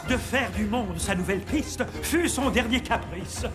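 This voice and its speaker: High-voiced theatrical puppet showman. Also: unhurried, music in the background. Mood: dramatic storytelling. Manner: grandiose, ceremonious announcing. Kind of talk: French animated film dub, theatrical storytelling.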